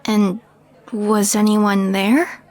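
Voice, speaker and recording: A woman playing a skeptical, easily shocked alien roommate in a scripted audio drama, questioning her friend with measured disbelief. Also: medium pitch, flat delivery, darkish tone, soft background music. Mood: curious. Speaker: voice actor in audio roleplay.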